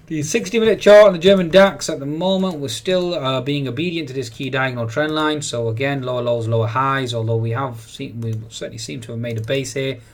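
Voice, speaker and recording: Male market commentator, mid-pitched, halting and filler-laden daily update. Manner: hesitant, many "uh" fillers, repeats "certainly". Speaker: market analyst. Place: voice-over on screen recording.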